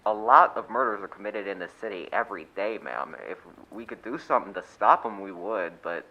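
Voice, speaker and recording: Guy doing a deep voiced radio play cop, unimpressed and patronising, kinda muffled lo-fi sound. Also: lively intonation, a little fast, a little hiss or hum. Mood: skeptical, patronising. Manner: patronising, skeptical, matter-of-fact. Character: unimpressed, placating male police desk officer. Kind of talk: suspense radio play acting.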